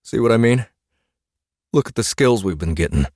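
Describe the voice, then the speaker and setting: Man playing bookish husband, low voice, earnest, a bit exasperated, fantasy audiobook, dry sound. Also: lively intonation, moderate pace, darkish tone, dry recording. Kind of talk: fantasy audiobook character dialogue.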